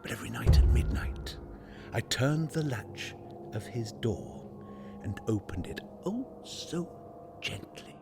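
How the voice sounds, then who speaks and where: An actor playing a nervous, unhinged madman in a gothic radio drama monologue, halting and theatrical in his delivery. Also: medium pitch, big pitch swings, gravelly, loud music behind the voice, full character voice. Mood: menacing, secretive. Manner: halting, stammering, theatrical, swinging from whisper to outburst. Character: nervous, unhinged madman confessing a murder obsession. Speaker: narrator actor in a radio play.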